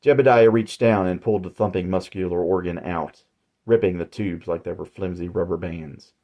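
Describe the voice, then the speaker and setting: A man narrating his own horror story in a measured, deliberate way. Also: sits low, fairly even intonation, dull audio. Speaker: author and narrator.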